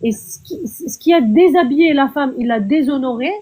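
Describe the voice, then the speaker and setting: A woman's voice: high voice, animated, moderate pace, dark tone. A woman debating in an online live stream, earnest and insistent, often stumbling and repeating words as she makes her point. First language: Arabic. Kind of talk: heated casual debate. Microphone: online live stream call.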